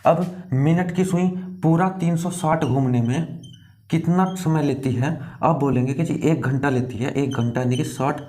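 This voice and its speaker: A man's voice: a little high, a little slow, mellow. A man teaching an exam lesson step by step, repeating key phrases and often checking in with the listener.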